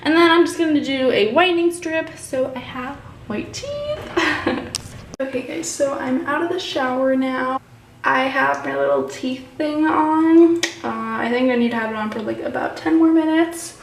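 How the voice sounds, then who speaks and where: A woman's voice: high voice, unhurried. A young woman chatting informally and giggly in a casual get-ready-with-me vlog. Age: young adult. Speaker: vlogger. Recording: faint background noise.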